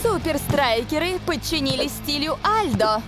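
A woman's voice: high-pitched, lively intonation, unhurried. An actress dubbing a dry female co-commentator in a football cartoon. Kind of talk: sports commentary. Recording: cartoon dub with loud music.

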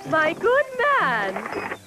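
A theatrical scheming wife. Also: female voice, high-pitched, huge pitch swings, loud music behind the voice, heavily acted. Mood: sly. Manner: drawn-out, theatrical. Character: fussy scheming magistrate's wife. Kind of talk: TV cartoon acting.

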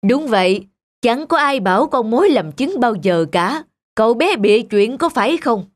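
An actor playing a calm, fair-minded middle-aged magistrate in a cartoon fairy tale, measured and authoritative. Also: male voice, extremely high pitch. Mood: measured, judicial.

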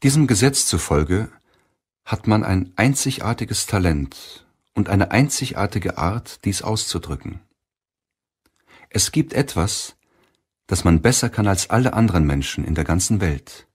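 Male audiobook narrator: low, husky, solemn and measured delivery. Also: lively intonation.

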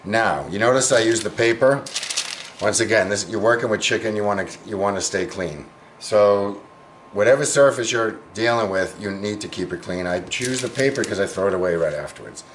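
A man hosting a home cooking demonstration in his kitchen, explaining each step in a relaxed, chatty way with small asides. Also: on the low side, moderate pace. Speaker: home cooking show host. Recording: clear background kitchen noise. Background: clattering utensils and dishes.

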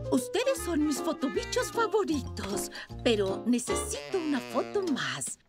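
A woman's voice: sits high, wildly swooping pitch, unhurried, heavily acted. A woman voicing a kindly, delighted old grandmother bunny in a cartoon dub. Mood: affectionate. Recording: music behind the voice.

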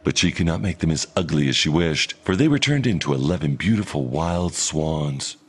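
Man, storybook narration, animated melody, low voice, expressive. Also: moderate pace, husky. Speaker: audiobook reader. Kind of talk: scripted storybook narration of a fairy tale.

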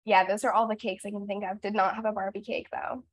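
A young woman in her twenties, a voice actress guest, chatting brightly and laughing easily on a video call from home. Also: mid-range voice, level tone. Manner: bubbly, upbeat, quick to laugh. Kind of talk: casual video-call interview. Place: home room in front of blue backdrop. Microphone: webcam video call.

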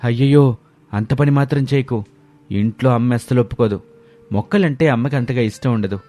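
Mild young Telugu husband voiced by a man, medium pitch. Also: fairly quick, soft background music, close to an everyday voice. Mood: mild, explaining. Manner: gentle, placating.

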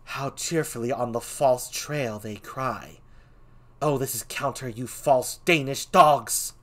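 A man's voice: mid-range voice, slow pace, a bit bright. A man voicing an anxious queen, speaking in a pleading, alarmed way.

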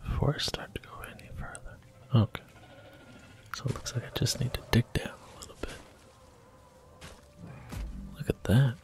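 A man softly whispering his way through a video game, gentle and unhurried, close to the mic. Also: mid-range voice, fairly even intonation. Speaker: ASMR gaming host. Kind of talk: soft-spoken ASMR gameplay commentary.

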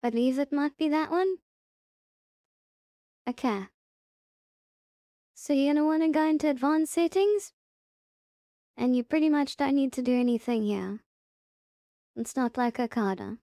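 High, mellow woman giving a tutorial. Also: dry recording, studio quality. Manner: unhurried, step-by-step, thinking aloud. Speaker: tutorial presenter. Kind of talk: casual software tutorial narration.

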